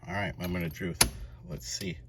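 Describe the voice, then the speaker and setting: A man's voice: sits low, flat delivery, moderate pace, creaky, heavy background noise. A man in his late thirties narrating a hands-on garage project in an informal, laid-back, self-deprecating way, like a casual vlog. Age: late 30s. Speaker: car restoration vlogger.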